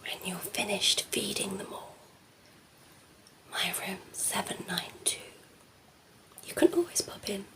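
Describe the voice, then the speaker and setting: Woman acting a slow, creaky-voiced flirty vampire. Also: mid-range voice, sing-song, fairly bright tone. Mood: flirtatious, hungry. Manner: teasing, slow, often dropping into whispers. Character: cheeky flirtatious female vampire, playful, predatory, teasing humans. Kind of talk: ASMR roleplay, close and soft-spoken.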